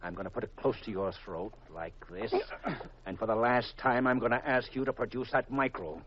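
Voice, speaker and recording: An actor playing a scheming false colonel in an old radio mystery, speaking deliberately and with cool menace. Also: male voice, mid-range voice, slight background noise, natural voice. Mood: menacing. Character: smooth-talking male villain posing as colonel, menacing, gloating. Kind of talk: 1940s-50s radio mystery acting. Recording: muffled vintage radio recording.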